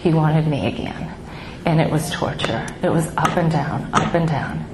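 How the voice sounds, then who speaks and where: A middle-aged woman with a small, soft voice sharing her own story of grief with an audience, halting and heartfelt with many pauses. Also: deep voice, animated. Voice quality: soft, small voice she calls baby-like. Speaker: speaker at a grief support gathering. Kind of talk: personal testimony to an audience. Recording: echoey room with background noise.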